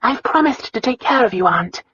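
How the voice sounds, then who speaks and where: A determined young princess acted by a woman reader in a storybook tale, pitched high and lilting. She speaks with polite, controlled firmness and composure. The recording is a little muffled. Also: animated, moderate pace, fairly bright tone, breathy edge, no room sound, full character voice. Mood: soothing, firm. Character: young princess, eighteen, poised, determined niece. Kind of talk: audiobook character acting.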